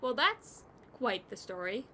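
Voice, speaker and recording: A woman's voice: on the high side, very animated, measured pace, pushed and bright, slight background noise, exaggerated voice. A woman playing a blunt, skeptical, sardonic ghost in an audio drama.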